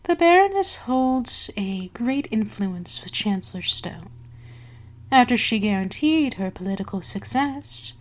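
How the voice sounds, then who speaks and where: A woman playing a commanding vampire queen in an ASMR audio roleplay, speaking in a measured, formal way with soft whispery lapses. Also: a little high. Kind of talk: slow, breathy ASMR roleplay monologue.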